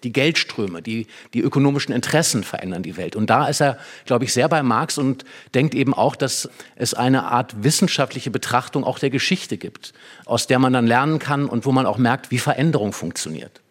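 A man speaking as a guest commentator in an explanatory discussion. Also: medium pitch.

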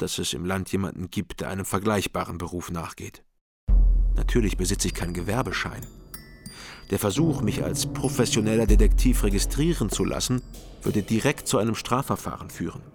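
An actor narrating as a private detective in a calm, measured, wry first-person radio crime style. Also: male voice, on the low side, close to an everyday voice. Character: sober-minded male private detective narrator.

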